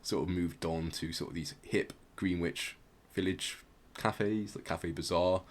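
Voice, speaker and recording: A man hosting a podcast, informally explaining music history in a halting way as he searches for words. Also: deep voice, steady tone. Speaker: podcast host.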